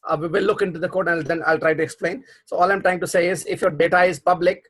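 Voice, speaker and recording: A man's voice: sits high, flat delivery, fast talker, a bit bright. A middle-aged man teaching a programming class over a video call, explaining patiently with many restarts. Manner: explanatory, repeats himself, frequent restarts and fillers. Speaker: programming instructor. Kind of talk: live online class explaining concepts.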